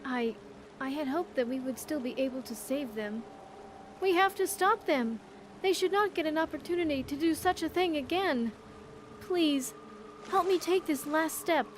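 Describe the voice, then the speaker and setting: A woman's voice: high-pitched, normal speed, slight background noise, natural voice. A woman playing an earnest, grateful young survivor companion in a video game, speaking in careful, formal phrases. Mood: urgent, pleading. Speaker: companion character in a game mod. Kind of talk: scripted game dialogue.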